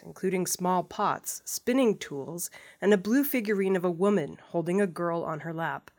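A woman reading scripted news stories as the presenter of an audio news programme. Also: fairly low pitch. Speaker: news reader.

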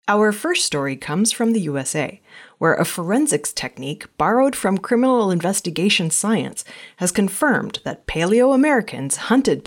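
A woman with a slightly low voice narrates news from a script. Her delivery is measured and formal, like a news reader presenting a weekly round-up of reports.

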